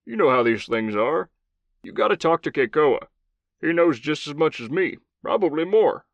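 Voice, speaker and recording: A man voicing an older male commander, a gruff old cowboy with a thick Southern drawl who swears freely. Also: a little high, lively intonation, moderate pace, no echo, dull audio, voice pushed up. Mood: gruff consoling.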